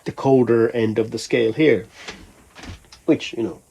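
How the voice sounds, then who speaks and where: A man's voice: mid-range voice, fairly even intonation, unhurried, creaky, dark tone, a little hiss or hum. A man from Finland, a musician, talking casually in a rambling, think-aloud way for a vlog. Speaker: musician vlogger.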